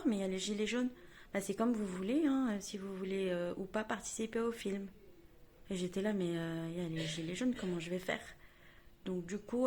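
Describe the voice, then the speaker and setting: A woman vlogger chatting at home to her camera, telling a story in a self-mocking, lively way. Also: medium pitch.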